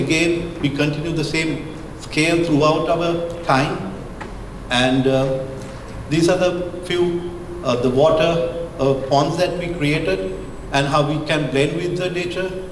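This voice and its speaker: A middle-aged Sri Lankan man speaks slightly high-pitched into a handheld mic, pausing often and filling gaps with "uh" as he presents slides. The hall rings with long reverb. A steady hum of room noise sits under his voice. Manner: hesitant, searching for words, frequent fillers. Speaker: architect giving a lecture. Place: lecture hall, indoors. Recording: echoey hall with background hum.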